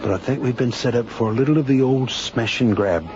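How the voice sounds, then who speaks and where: A man's voice: medium pitch, fairly quick, lightly acted. A man playing a wary, mocking first mate on a space freighter in a radio drama. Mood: wary scorn. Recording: dull radio recording with music behind.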